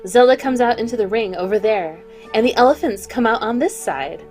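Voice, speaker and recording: A woman plays an eager young woman in a vintage radio play, brimming with enthusiasm. Her voice sits somewhat high. Faint music plays under her lines. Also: animated, brisk, a little mellow, natural voice. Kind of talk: 1940s radio acting, table read.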